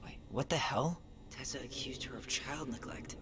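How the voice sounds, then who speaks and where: A man's voice: medium pitch, normal speed, close to an everyday voice. An actor playing a wounded, angry young American man in a naturalistic video game drama. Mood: muttering, focused. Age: young adult. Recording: noisy game capture.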